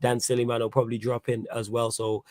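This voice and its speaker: A man hosts a live football fan show. He talks casually with plenty of slang and banter. His voice is mid-pitched and recorded dry and close. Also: little pitch movement, quick pace, a little mellow, dry recording. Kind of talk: casual live sports chat.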